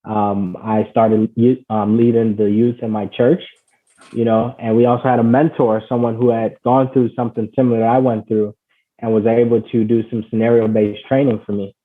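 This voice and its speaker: A man's voice: on the low side, little pitch movement, moderate pace. A man from Ghana, a guest on a call, telling his life story in an earnest, conversational way, full of "you know" and "basically.". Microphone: call audio. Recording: tinny phone-like call audio.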